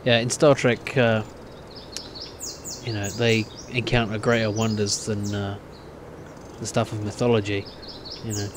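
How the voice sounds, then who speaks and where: A man's voice: fairly low pitch, level tone, unhurried, pauses often, background noise. A man giving casual, hesitant let's play commentary, thinking aloud as he plays a game. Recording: game music behind the voice. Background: video game music.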